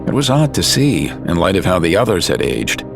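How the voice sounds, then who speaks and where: A man's voice: deep voice, very animated, moderate pace, gravelly, music in the background. A man reading scripted dramatic narration for an audio drama.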